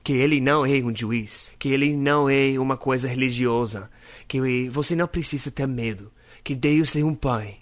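Young American host, native English, earnest and joking, dry booth-like recording. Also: male voice, medium pitch, moderate pace, dry recording. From North America. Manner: friendly, earnest, joking, stumbles over Portuguese words. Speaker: podcast host. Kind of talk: casual solo podcast monologue.

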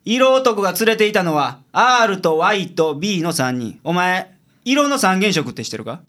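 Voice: mid-range voice, sing-song, moderate pace, fairly bright tone. A performer doing a smug Kansai private eye. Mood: explaining. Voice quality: gruff, smug. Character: sharp-tongued male Kansai private detective. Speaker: lead detective in a radio drama. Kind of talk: community radio drama acting.

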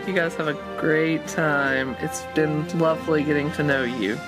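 A woman playing an awkward, jilted romantic hopeful, halting but politely gracious, in an improvised radio comedy. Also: low-pitched, fairly even intonation, over music, close to an everyday voice. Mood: awkward, disappointed.

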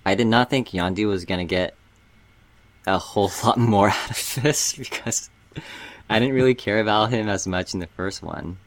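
A man giving his opinions in a casual film discussion, with halting, loose phrasing. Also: on the low side, no echo. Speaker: podcast panelist.

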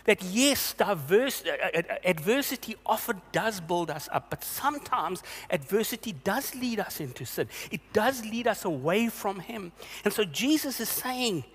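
A man's voice: high-pitched, expressive, moderate pace, few pauses, fairly bright tone, in a large hall, slight background noise. A male pastor giving a sermon, earnest and questioning, repeating himself for emphasis.

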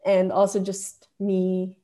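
A woman's voice: mid-range voice, monotone, slow pace, dark tone. A young woman from Japan, giving a casual, conversational account of herself as an interviewee. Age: young adult.

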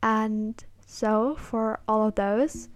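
A woman's voice: on the high side, steady tone, drawn-out words, no echo. An eighteen-year-old woman hosting a casual solo podcast on camera. She rambles hesitantly with many "like" fillers and apologizes self-consciously. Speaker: podcast host.

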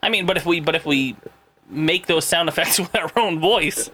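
Bright-voiced joking man. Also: on the high side, normal speed. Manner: playful, joking, laughs often. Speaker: podcast co-host. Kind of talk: casual comedic chat.

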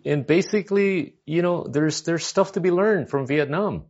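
A man's voice: fairly high pitch, normal speed, darkish tone. A man from Indonesia interviewing a guest, hesitant and ending points with tag questions. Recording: dull lo-fi sound.

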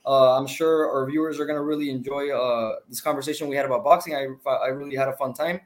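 A man's voice: medium pitch, steady tone, fast. A man from Mexico co-hosting a boxing interview, switching between two languages and translating, talking with many fillers and restarts. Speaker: co-host.

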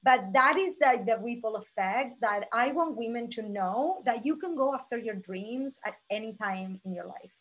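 Energetic woman from Argentina, forties. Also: a little high, moderate pace, few pauses, poor audio. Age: 40s. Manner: energetic, animated storyteller. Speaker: guest. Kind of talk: conversational interview storytelling.

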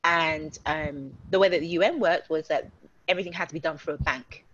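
A chatty woman born and raised in the UK, speaking in a fairly low voice. She rambles warmly through her points with plenty of fillers. The sound is dull and lo-fi, as over a video call with faint hiss. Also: brisk. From United Kingdom. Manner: chatty, warm storytelling with many fillers. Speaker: guest. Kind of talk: casual online interview.

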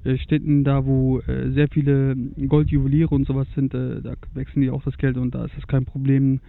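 A man's voice: medium pitch, monotone, moderate pace, creaky. A young man from Germany, chatting as a guest about travel, hesitant, with many fillers. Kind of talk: casual conversation about travel. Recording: tinny lo-fi phone-like sound.

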